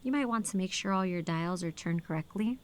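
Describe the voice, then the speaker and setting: A woman acting in an audio drama as a sharp, defiant military lieutenant with a dry, sardonic manner. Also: on the low side, a little fast, noisy background, close to an everyday voice. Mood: dry, pointed. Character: sharp, defiant female military lieutenant, operations radio officer.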